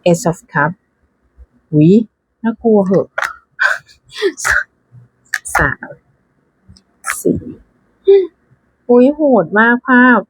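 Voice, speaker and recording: A woman's voice: mid-range voice, expressive, drawn-out words, long pauses, breathy edge, no room sound, low-quality recording. A woman giving casual, chatty unboxing commentary, thinking aloud with frequent exclamations and dropping English card names into her talk. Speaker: tarot deck reviewer.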